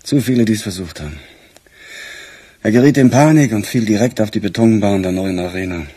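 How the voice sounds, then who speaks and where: A weary police detective in a radio crime drama. The voice is low and heavily husky. He talks in a wry, conversational way. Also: brisk, dark tone. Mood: matter-of-fact.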